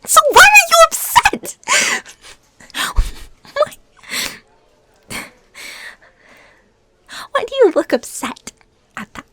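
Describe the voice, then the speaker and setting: A woman playing a lovesick, bitter ex-stalker fighting her obsession, in an emotional, halting audio roleplay monologue. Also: very high voice, wildly swooping pitch, full character voice. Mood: agitated, pleading. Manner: halting, emotional, swinging between hushed whispers and outbursts.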